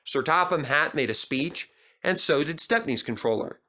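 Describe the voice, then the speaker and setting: Bright, animated male storyteller. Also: fairly high pitch, normal speed. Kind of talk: animated children's story narration.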